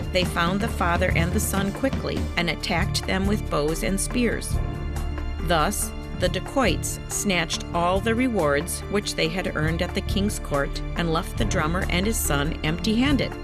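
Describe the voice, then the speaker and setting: A woman reading a scripted children's story as a narrator, in an expressive, deliberate storybook manner. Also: fairly low pitch. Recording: music behind the narration. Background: background music.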